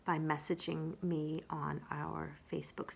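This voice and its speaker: A woman's voice: low-pitched, creaky. A woman working as a children's storyteller, chatting casually to young listeners and introducing a storybook. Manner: animated, unhurried storytelling.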